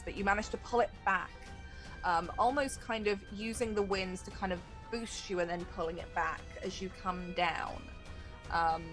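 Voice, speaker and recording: A woman running a tabletop role-playing game, improvising narration and explaining rules in a conversational way. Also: mid-range voice. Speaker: game master. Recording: background music under the voice.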